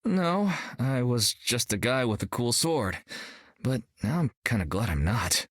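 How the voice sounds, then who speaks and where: A man's voice: medium pitch, sing-song, unhurried, no room sound, studio quality. A young actor playing a brash, cocky male martial artist in a video game, joking and upbeat. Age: young adult.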